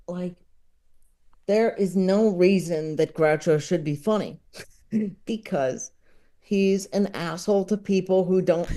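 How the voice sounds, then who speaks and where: A man's voice: fairly high pitch, dark tone. A man speaking as a podcast guest, chatting casually and analytically about what he loves, often hesitating as he thinks aloud. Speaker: podcast guest, comedy enthusiast. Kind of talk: casual opinionated film chat.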